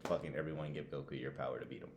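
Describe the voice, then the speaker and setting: A man's voice: extremely low pitch, steady tone, racing, creaky, darkish tone. A man co-hosting a podcast, giving blunt opinions in casual, slangy, sweary conversation. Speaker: podcast co-host. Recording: echoey room with faint background noise.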